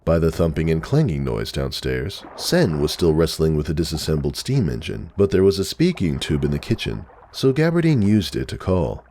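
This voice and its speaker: A man's voice: extremely low pitch, big pitch swings, normal speed, few pauses, dark tone, slight background noise. A man narrating a story from a script as a dramatic reading. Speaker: narrator, fan fiction reader.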